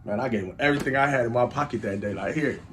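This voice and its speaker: A young man with a Chicago accent, a rapper and engineer, telling stories in a rambling, animated way as an interview guest. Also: mid-range voice, very fast, slight background noise. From Chicago, USA. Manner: rambling, animated storytelling with lots of "like". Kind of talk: casual storytelling interview.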